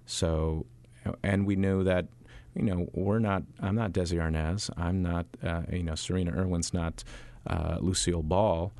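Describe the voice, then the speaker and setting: Man, very low voice, dry close sound, stage actor in an interview, lots of uhs and restarts. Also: steady tone, darkish tone, no echo. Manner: halting, many fillers and restarts. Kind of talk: interview answers.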